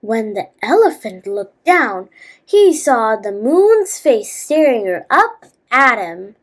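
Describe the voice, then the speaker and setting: A woman reading a children's fable aloud as a storyteller, halting at times and adding asides to listeners. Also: on the high side, very animated.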